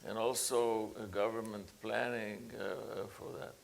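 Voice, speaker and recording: Gravelly halting man on a panel. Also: lowish voice, level tone, a little hiss or hum. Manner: halting, many uh fillers and restarts. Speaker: panelist, engineering professor. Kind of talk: conference panel remarks.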